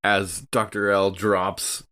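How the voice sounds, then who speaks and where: Male gamer, fairly low pitch, casual role-playing table talk. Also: level tone, slow pace, bright, forceful tone, slight background noise. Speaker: tabletop game player. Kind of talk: casual game play.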